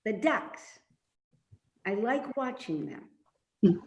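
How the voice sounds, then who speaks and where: A cranky, stubborn elderly mother, played by a woman in a stage reading, heard in an echoey room. She speaks slowly in a fairly high voice, grumbling and laying on the guilt. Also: sing-song, a little mellow, slight background noise, heavily acted. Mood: wistful. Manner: grumbling, guilt-tripping, pointed. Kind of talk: readers theater stage acting. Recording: roomy, echoey sound.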